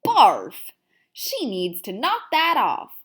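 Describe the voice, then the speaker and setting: A woman voicing a cocky, excitable male pet hamster telling his diary in a children's storybook, with emphatic, theatrical delivery. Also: fairly high pitch, takes it slowly, husky, heavily acted. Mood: disgusted. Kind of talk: first-person children's storybook read-aloud.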